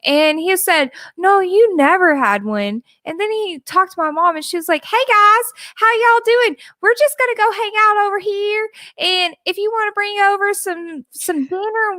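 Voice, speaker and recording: A woman's voice: very high-pitched, normal speed, hardly any pauses, bright, forceful tone, no room sound, studio quality. A young woman co-hosting a podcast, teasing and telling stories in casual chat with lots of laughs. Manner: teasing, giggly storyteller. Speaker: podcast co-host.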